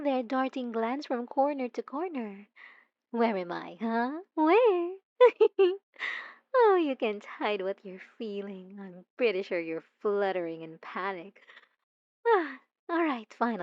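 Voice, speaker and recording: A woman's voice: high voice, no room sound, muffled recording, exaggerated voice. A woman voicing a possessive, obsessive female stalker in an intimate ASMR roleplay, coy and mocking, cooing with teasing menace. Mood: teasing, coaxing.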